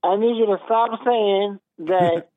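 A man's voice: high voice, slow, no echo. A man in his fifties with a Southern US accent, calling into a radio show and arguing insistently, repeating himself. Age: 50s. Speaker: caller. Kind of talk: call-in radio talk. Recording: phone line.

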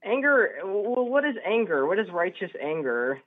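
Through a tinny telephone connection comes an eighteen-year-old man with a fairly high voice. He is a caller debating casually, halting often and restarting his sentences. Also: sing-song. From upstate New York, United States. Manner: hesitant, halting, stammering restarts. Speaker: radio show caller. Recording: narrow, tinny phone line.

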